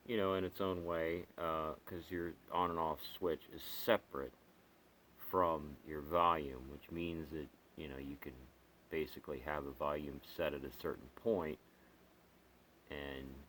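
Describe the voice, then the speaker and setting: A man reviewing gear as a hobbyist in a casual, unscripted, halting and rambling way, with pauses as he explains. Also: a bass voice, fairly bright tone, slight background noise.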